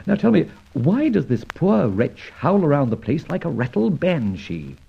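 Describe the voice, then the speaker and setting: An actor playing a suave adventurer sleuth in an old radio drama, speaking in an articulate, wry, theatrical manner. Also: male voice, on the high side, very animated, moderate pace, creaky, a little hiss or hum, full character voice. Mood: wry, probing. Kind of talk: old radio drama acting with scripted narration. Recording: muffled old radio recording with music.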